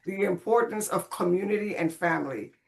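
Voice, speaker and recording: A woman's voice: on the low side, a little fast, creaky, rough recording. A woman from the Caribbean, raised speaking Spanish, telling her life story conversationally and expressively as a podcast guest. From Caribbean, born on Saint Croix, raised in Puerto Rico.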